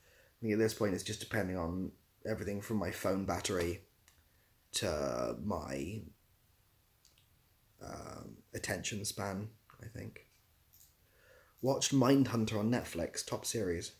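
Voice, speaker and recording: A man's voice: on the low side, very animated, unhurried, long pauses, darkish tone. Laid-back man chatting on a livestream. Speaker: live streamer answering chat questions. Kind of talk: casual chat, reading out and answering viewer questions.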